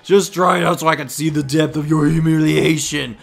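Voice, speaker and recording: A man's voice: fairly high pitch, fairly even intonation, a little fast, creaky, soft background music. A man voicing a gloating, bumbling evil demon in a video game, with a bombastic, overdramatic delivery. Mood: gloating, theatrical. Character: gloating, theatrical evil cursed chest demon.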